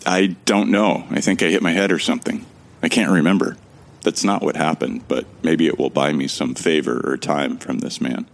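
A man's voice: rumbling low, steady tone, moderate pace, gravelly. An actor playing a bewildered amnesiac man who wryly narrates his ordeal in the first person for a sci-fi audio drama. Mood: confused. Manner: wry, musing.

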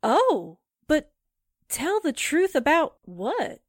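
A woman's voice: high voice, sing-song, slow pace, no echo, clean studio sound, full character voice. A woman playing a naive, literal-minded and easily flustered young assistant in a 1940s-style radio drama. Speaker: actor in an amateur radio drama re-creation.

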